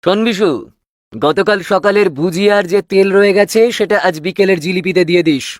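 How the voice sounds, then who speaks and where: A man's voice: high-pitched, steady tone, brisk, natural voice. A man voicing a young, sly and cocky sweet-shop owner in a cartoon. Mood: sly, smug. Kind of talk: cartoon voice acting.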